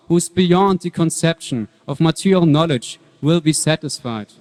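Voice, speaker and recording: A reverberant hall carries a man's portrayal of a serene young saint. He speaks a little high, in measured, earnest phrases, as if teaching scripture on stage. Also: lightly acted. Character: saintly young boy devotee, calm and earnest. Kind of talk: dramatic reading of scripture.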